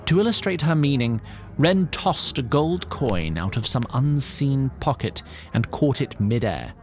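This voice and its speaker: Animated male narrator with wide-ranging pitch. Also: unhurried. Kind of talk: scripted storytelling narration and credits.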